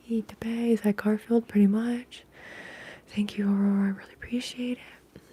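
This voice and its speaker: A soft-spoken woman hosting an ASMR livestream, talking in a breathy, whispery hush and chatting casually with her viewers. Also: mid-range voice, flat delivery. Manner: hushed, unhurried casual talk.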